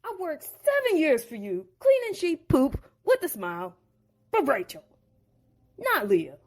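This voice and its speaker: A woman's voice: sits high, huge pitch swings, darkish tone, voice pushed up, heavily acted. A woman voicing a slangy, hot-headed young man in love, in a storybook audio drama. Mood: furious. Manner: slangy, quick to outrage.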